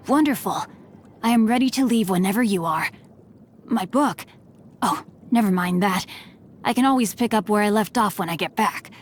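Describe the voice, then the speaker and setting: A woman's voice: on the high side, lightly acted. A woman voicing a courteous, bookish teenage boy martial artist in a video game, with refined, eloquent and formal diction. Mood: polite, cheerful. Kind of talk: video game character voice lines. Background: music.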